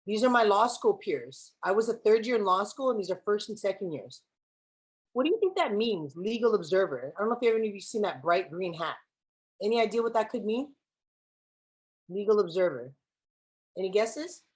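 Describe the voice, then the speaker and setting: A woman's voice: mid-range voice, expressive, normal speed, slightly rough. A young woman giving a keynote speech to students, switching between English and Spanish and asking the audience questions. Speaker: keynote speaker. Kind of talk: speech to students with spoken-word poem.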